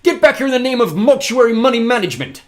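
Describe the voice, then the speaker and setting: A man's voice: mid-range voice, lively intonation, fast, voice pushed up, lightly acted. A man doing a stern, blustering banker. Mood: shouting. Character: stern fussy male banker guardian, coughing.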